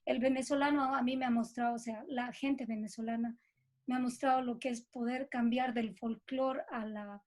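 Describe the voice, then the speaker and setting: High-voiced Bolivian woman, casual and reflective. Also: steady tone, normal speed. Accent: Bolivian Spanish from Cochabamba. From Cochabamba, Bolivia. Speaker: interviewee, composer and musician. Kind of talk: casual reflective interview talk.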